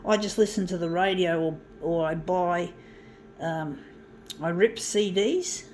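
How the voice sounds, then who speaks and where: A middle-aged woman talks in a casual, meandering way, full of ums and restarts. Her voice sits on the low side. A faint hiss of noise runs under the recording. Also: measured pace, a little mellow. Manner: rambling, many fillers and restarts. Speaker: zine and mixtape maker. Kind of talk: casual reminiscing while working.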